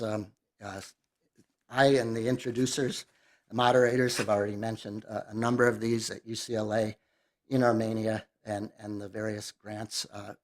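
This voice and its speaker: A man giving an academic lecture in a relaxed, halting way with many fillers. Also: medium pitch. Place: lecture hall.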